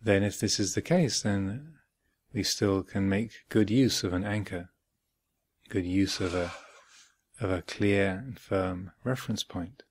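A man giving guided meditation instruction as a teacher, speaking in measured short phrases with long pauses between them. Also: low voice, slightly rough, no room sound. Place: retreat center, close dry recording.

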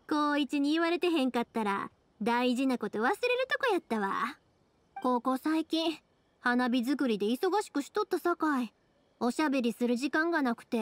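An actress playing a cheerful, talkative young fireworks maker in an anime game, speaking with a Kansai accent. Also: female voice, high-pitched. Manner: talkative, friendly, animated. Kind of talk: anime video game voice acting.

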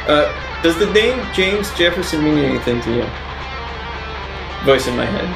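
Higher-pitched offhand man over guitar music. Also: animated, normal speed, gravelly. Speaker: player in a tabletop roleplaying game. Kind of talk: casual improvised roleplay. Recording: roomy sound under loud guitar music.

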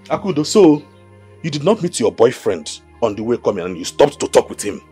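An actor playing a jealous, overbearing husband in a screen drama, interrogating and giving orders. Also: male voice, mid-range voice, sing-song, a little fast, dark tone, breathy edge, soft background music. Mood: suspicious, demanding. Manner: interrogating, commanding.

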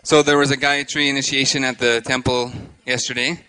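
A man in his thirties hosting a live interview on a handheld microphone, speaking haltingly with frequent pauses. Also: mid-range voice, little pitch movement, pushed and bright, smooth, rough recording. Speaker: host. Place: indoor stage with curtain.

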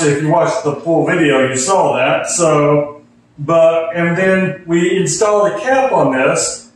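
A middle-aged man hosting a casual hands-on car audio vlog, thinking aloud as he goes. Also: a little high. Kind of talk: casual hands-on install and review talk. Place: garage, car and house interior. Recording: roomy echo.

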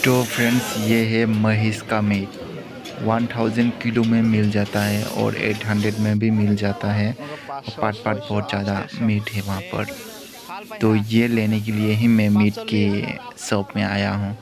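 Low, monotone man vlogging. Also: unhurried, over music. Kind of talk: casual walk-through vlog commentary. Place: outdoor street market, walking. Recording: loud busy outdoor crowd noise.

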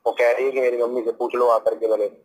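Heard through a phone speaker, a young North Indian man argues heatedly. His medium-pitched voice stays flat in intonation while he scolds in a confrontational way. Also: fast talker. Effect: phone call on speaker. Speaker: prank call recipient.

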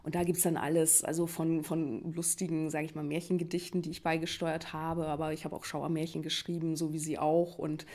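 A woman's voice: deep voice, flat delivery, fairly quick, few pauses, darkish tone. A woman in her thirties chatting casually and playfully as a guest. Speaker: actress and writer, guest. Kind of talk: casual chat. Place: living room, indoors.

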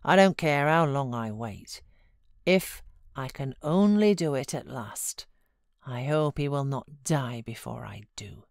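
A grave, stubborn boy, played by a woman narrator with a British accent broods on revenge in a storybook reading. The pitch is low for a female voice and the sound is dry. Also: lively intonation, slow pace, a little mellow, no echo, heavily acted. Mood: brooding.

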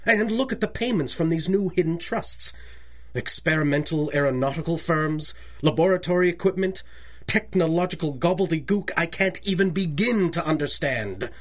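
An actor playing an older bank president in a radio drama, formal, fussy, deferential and anxious. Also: male voice, fairly high pitch, lively intonation, moderate pace. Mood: grave, puzzled. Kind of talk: 1930s-style radio drama acting.

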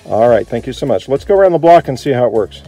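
A man's voice: medium pitch, sing-song, fast talker, dark tone, poor audio. A middle-aged American man gives a casual, hands-on explanation with an ordinary mid-range voice. He thinks aloud in a chatty way, recorded on a phone held close, with some music around him. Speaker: vlogger demonstrating Jeep radio gear. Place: outdoors by a vehicle and inside a truck cab.

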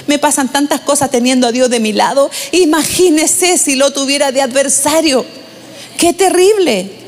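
A middle-aged woman pastor preaching and reading scripture aloud at a measured pace, into a handheld microphone on a large church stage. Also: sits high. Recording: long hall reverb.

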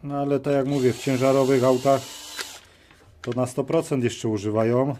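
A man giving casual hands-on commentary, musing aloud in short, halting phrases as he works at a workshop bench. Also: medium pitch, steady tone, dark tone. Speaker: host of a DIY teardown video. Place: workshop at a cluttered metal bench. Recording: noisy workbench with clicks and clatter. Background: tool clicks and metallic clatter.

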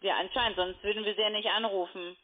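A woman making an unscripted sales call, brisk and argumentative. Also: medium pitch, steady tone. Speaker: telemarketing caller. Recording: thin tinny phone line.

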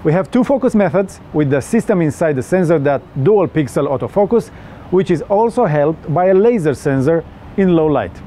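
A young man with a Romanian accent talks through a phone review in an earnest, slightly stilted way. His voice sits a little high yet sounds mellow. Faint street noise stays in the background. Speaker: tech reviewer.

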